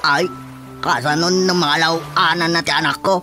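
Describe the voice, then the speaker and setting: An older male villager, an aggrieved father acted by a man, complains in a notably high voice. He is plaintive and grumbling, in the manner of Ilocano radio comedy, over soft music. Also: fairly even intonation, normal speed, close to an everyday voice. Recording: faint music under the dialogue. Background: faint background music.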